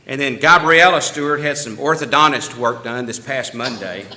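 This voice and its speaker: A man with a drawl reads out church announcements in a friendly, rambling way. His voice is strikingly bright and keen, and he chuckles often. He sits at an everyday middle pitch. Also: moderate pace, poor audio. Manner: folksy, chatty, laughs easily, with small restarts. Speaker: church member giving announcements. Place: church meeting room.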